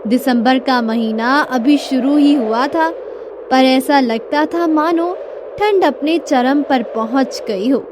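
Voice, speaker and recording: A woman narrating a scripted cartoon story in a dramatic, deliberate storytelling manner. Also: high-pitched, background noise. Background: music.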